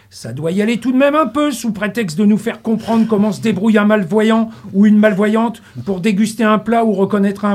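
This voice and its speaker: Husky-voiced man delivering a playful radio column. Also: sits high, darkish tone. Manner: playful, wordplay, mock-grand asides. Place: radio studio. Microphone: studio mic.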